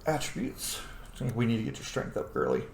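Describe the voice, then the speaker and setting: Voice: medium pitch, sing-song, fast, gravelly, darkish tone. A video game streamer giving casual let's play commentary, playful and sweary, often thinking aloud. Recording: echoey room with faint noise.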